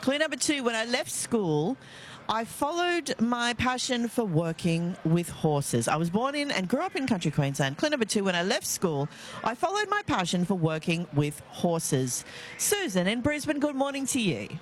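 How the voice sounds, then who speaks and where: A woman's voice: a little high, very animated, moderate pace. A woman with an Australian accent hosting live talkback radio outdoors, chatty and self-mocking, laughing easily. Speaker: radio host. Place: outdoors outside a stadium, live broadcast. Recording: faint outdoor background noise. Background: faint ambient noise.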